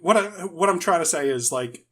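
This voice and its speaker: A man's voice: a little high, animated, fairly quick, bright, forceful tone. A man speaking as a podcast guest, explaining his views casually and rephrasing as he goes.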